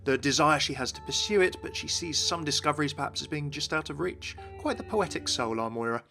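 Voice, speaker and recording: A man's voice: fairly high pitch, expressive, fairly quick, creaky, bright, forceful tone. A man presenting game lore as an enthusiastic scripted video narration. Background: music.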